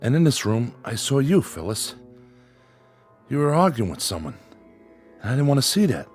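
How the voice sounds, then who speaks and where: A troubled husband played by a male actor in a radio drama, haunted and intense. His middle-pitched voice is halting yet widely varied, with faint music underneath. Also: sing-song, darkish tone, close to an everyday voice. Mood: shaken, brooding. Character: troubled, haunted husband gripped by frightening visions.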